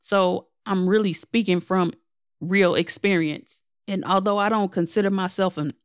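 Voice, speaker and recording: A young woman, slightly hoarse, hosting her own podcast in a casual, candid and self-deprecating way. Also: medium pitch, no echo, studio quality. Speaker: podcast host. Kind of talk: casual solo podcast update.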